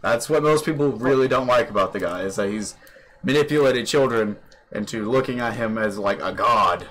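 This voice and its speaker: A man's voice: medium pitch, moderate pace, fairly bright tone, a little hiss or hum, poor audio. A young man hosting a podcast, chatting casually over a voice call, rambling with lots of fillers and casual swearing. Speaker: podcast host. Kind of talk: casual chat. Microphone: computer microphone on a voice call.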